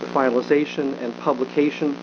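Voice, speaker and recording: A man speaking unscripted as a government official at a briefing, measured and halting, with frequent filled pauses. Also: medium pitch, steady tone. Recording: dull broadcast audio with clear hum.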